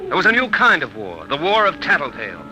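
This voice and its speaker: Earnest radio-drama storyteller. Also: male voice, fairly high pitch, wildly swooping pitch, quick pace, low-quality recording. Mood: dramatic. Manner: earnest, plain-spoken. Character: earnest college man narrating his professor friend's story. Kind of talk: dramatic narration and vintage radio acting. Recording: old radio recording with music.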